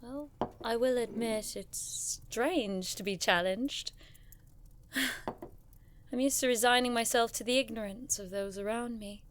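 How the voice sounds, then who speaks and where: Elegant young lady scholar: wry, teasing, arch, slightly high voice, audio drama. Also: slow, pauses often, slight background noise. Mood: reluctant admission.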